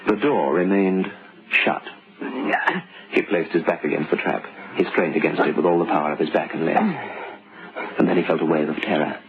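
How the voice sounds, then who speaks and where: An actor playing a grave male storyteller, narrating an old-time radio suspense tale with dramatic, deliberate phrasing. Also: deep voice, steady tone. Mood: ominous. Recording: lo-fi old radio recording with music.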